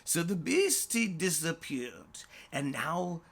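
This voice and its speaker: A storyteller narrating a rhyming bedtime story in a theatrical, drawn-out way with dramatic swoops. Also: medium pitch, very animated, husky. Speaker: storyteller, author reading own story.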